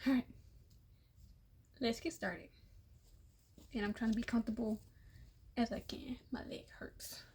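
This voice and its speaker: A young adult woman, a tarot reader losing her voice, giving a casual, chatty reading that repeats itself and drops into soft murmurs. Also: on the high side, fairly even intonation, a little slow, pauses often, slightly rough, whispered. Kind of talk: casual tarot reading for all zodiac signs.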